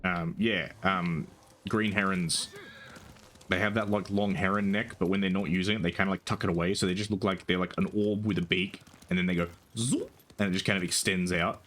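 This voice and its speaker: Young guy streaming, super low soothing voice, rambly and sweary, bit of hiss behind. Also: fairly even intonation, fairly quick. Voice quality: soothing, soft-spoken. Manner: rambling, swears casually, lots of ums and likes. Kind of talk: casual gaming stream chat.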